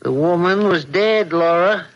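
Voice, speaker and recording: Slow-spoken, cautious radio-drama husband. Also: male voice, high-pitched. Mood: concerned, insistent. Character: concerned, cautious husband of a housewife. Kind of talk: old-time radio mystery acting. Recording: dull, band-limited radio drama recording.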